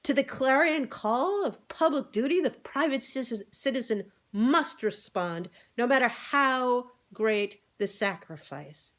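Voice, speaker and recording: Woman doing a pompous, high-pitched storybook rooster. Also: animated, measured pace, hardly any pauses, a bit bright. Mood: pompous, noble. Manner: florid, stretched-out oratory. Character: pompous, grandiloquent rooster politician. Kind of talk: animal storybook read-aloud.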